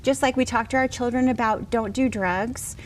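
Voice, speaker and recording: A woman's voice: fairly high pitch, moderate pace, slight background noise. A middle-aged woman speaking as an author guest in a TV interview, earnest and reassuring as she tells personal stories. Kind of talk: conversational TV interview, personal storytelling.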